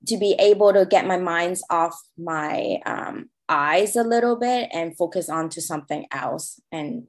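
A woman from Hong Kong with a Cantonese accent, speaking English as a second language as an interview guest, halting with many fillers and restarts. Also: lowish voice. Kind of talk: interview conversation.

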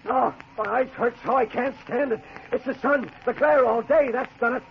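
An actor playing a selfish, bullying stranded trekker in a gruff, blustering 1940s radio drama style. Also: male voice, extremely high pitch, steady tone, moderate pace. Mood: panicked. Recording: muffled, noisy 1940s radio recording.

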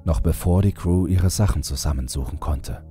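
Very deep, dark-voiced male horror narrator. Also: normal speed, faint music behind. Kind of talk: scripted first-person horror narration.

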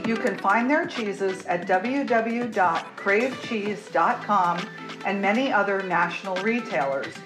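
A woman's voice: medium pitch, a little slow. Woman hosting a cooking demo over music. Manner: explanatory, friendly instruction. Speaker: cooking show host. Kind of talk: cooking demonstration. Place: home kitchen. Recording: music bed underneath.

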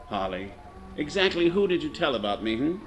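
A man's voice: a little high, very animated, measured pace, faint music behind. A man playing a charming, glib American racketeer in a radio drama, jaunty and cynical.

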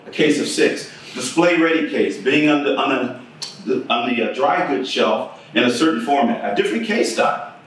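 Guy doing a keynote, chatty, keeps asking the room stuff, kinda high voice, sounds far off. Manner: conversational, asks audience questions. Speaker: keynote speaker. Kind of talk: conference keynote talk. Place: conference room with projector screen. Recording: echoey room, from a distance.

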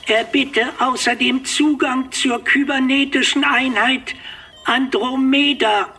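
An actor voicing a small robot companion that reports facts slowly and precisely in a radio play. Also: high-pitched, steady tone, sharp tone, slightly rough, soft background music, exaggerated voice. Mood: matter-of-fact.

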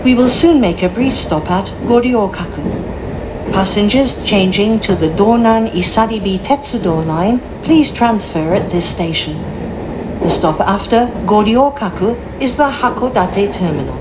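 A woman's voice: mid-range voice, lively intonation, slow. A woman giving scripted onboard announcements as a train announcer, formal, polite and carefully phrased. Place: inside a train, over the announcement system. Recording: noisy train cabin recording.

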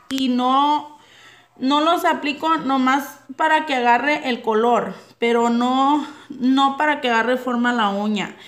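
A woman's voice: sits high, a bit bright. A young woman, not a native Spanish speaker, chatting through a nail tutorial at home and addressing her viewers as girls. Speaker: nail artist. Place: home nail table, dogs barking outside.

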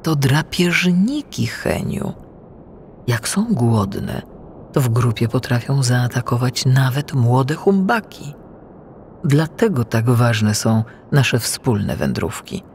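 A man voicing a gentle, protective mother humpback whale in a storybook, patient and cautioning. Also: mid-range voice, sing-song, slow, slight background noise. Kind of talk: storybook reading.

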